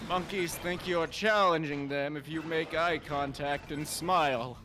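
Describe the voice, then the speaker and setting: A man's voice: a little high, measured pace, background noise, close to an everyday voice. A man voicing a reluctant, hapless cartoon plumber in a comic story read-aloud. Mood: sheepish.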